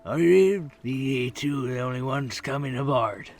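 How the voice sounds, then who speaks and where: A man's voice: mid-range voice, a little slow, lightly acted. A man voicing a shady old tugboat captain in a tabletop game, sly and ominous, with archaic, salty phrasing. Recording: music behind the voice.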